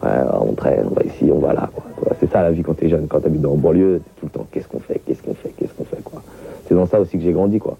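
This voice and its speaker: A man's voice: very deep voice, quick pace, creaky. A man from Montreuil with a Paris-suburb accent, talking loosely in an interview, full of slang and fillers, on a tinny lo-fi recording with music behind. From Montreuil, France. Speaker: reggae artist interviewee. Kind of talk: casual interview talk.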